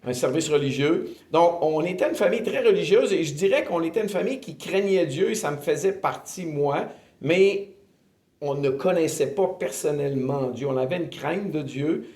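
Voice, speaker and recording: A man in his fifties with a Québécois accent, earnestly telling his faith testimony in an interview, often pausing with filler sounds. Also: a little high, darkish tone. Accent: Québécois French. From Quebec, Canada. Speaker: ministry director giving testimony. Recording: roomy echo.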